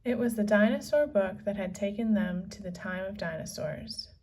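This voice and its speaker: A woman reading a children's story aloud as narrator, with measured, deliberate phrasing. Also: medium pitch, a little mellow. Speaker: storybook reader. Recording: slightly distant from the microphone.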